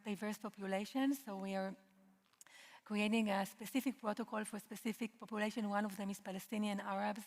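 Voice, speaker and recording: Israeli-accented woman trainer, earnest, halting, reverberant hall. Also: mid-range voice, fairly even intonation. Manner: earnest, halting, many uh and um fillers. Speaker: conference speaker, psychedelic therapy trainer. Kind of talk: conference talk. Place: large conference hall.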